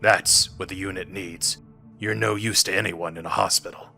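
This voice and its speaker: Audio drama casting: stern veteran commander, deep and forceful, gruffly authoritative, measured delivery. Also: male voice, steady tone, soft background music, exaggerated voice. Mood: firm encouragement. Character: stern battle-scarred male military unit commander with prosthetic eyes.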